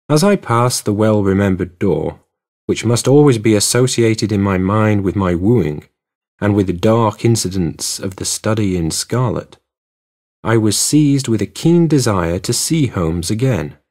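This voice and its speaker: A man reading literary narration for an audiobook in a measured storytelling manner. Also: lowish voice, a little mellow.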